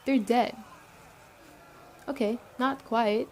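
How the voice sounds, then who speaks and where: A woman playing a young zombie-apocalypse camp chief in a roleplay audio drama, explaining things conversationally to the listener with hesitant pauses. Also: a little high, fairly even intonation, slight background noise. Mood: wistful.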